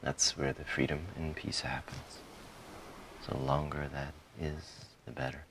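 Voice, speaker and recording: A man guiding a meditation in a calm, soothing manner, giving soft instructions in short phrases with frequent pauses. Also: extremely low pitch, a little hiss or hum. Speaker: meditation guide. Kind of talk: guided meditation, softly spoken instruction.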